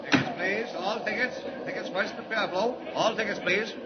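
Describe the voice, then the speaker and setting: Man playing a high-voiced calling train conductor. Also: normal speed, lightly acted. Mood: businesslike calling. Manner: sing-out repetitive calling. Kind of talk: 1940s radio drama acting. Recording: muffled, very noisy 1940 radio recording.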